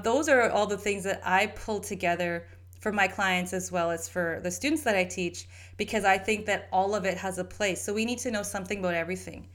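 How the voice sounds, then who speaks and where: A woman's voice: medium pitch, fairly bright tone. A woman from Canada hosting her own podcast, telling her story in a rambling, earnest way with many restarts. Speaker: podcast host and holistic health practitioner. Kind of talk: casual solo podcast monologue.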